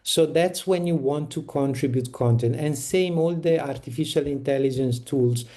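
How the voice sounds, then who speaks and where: Man explaining in a mellow voice. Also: a little high, normal speed. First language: Italian. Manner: practical, explanatory, frequent restarts. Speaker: panelist on community toolkit. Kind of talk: online meeting talk.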